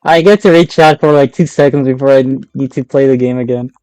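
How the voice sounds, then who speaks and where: Casual Argentinian man streaming, mellow voice. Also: mid-range voice, fairly even intonation, fairly quick, clean tone, dry recording, rough recording. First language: Spanish. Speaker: game streamer. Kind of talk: casual live stream chat while gaming.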